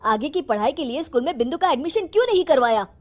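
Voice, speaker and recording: A woman's voice: high voice, very animated, fast talker. A woman voicing a firm, protective father in a moral story, speaking earnestly and emphatically. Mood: indignant. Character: firm, protective father standing up for his daughter.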